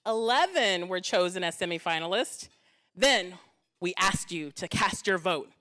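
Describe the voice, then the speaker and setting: A woman's voice: on the low side, lively intonation, measured pace, sharp tone. A woman emceeing a gala on stage with a handheld microphone, chatty and joking with the audience. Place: event hall stage.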